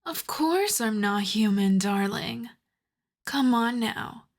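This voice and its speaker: A woman playing a seductive, possessive vampire countess in an ASMR roleplay, speaking softly and often slipping into whispers, with condescending tenderness. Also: a little high, animated, full character voice. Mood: teasing, seductive. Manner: slow, crooning, condescendingly tender. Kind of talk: ASMR roleplay, acted monologue.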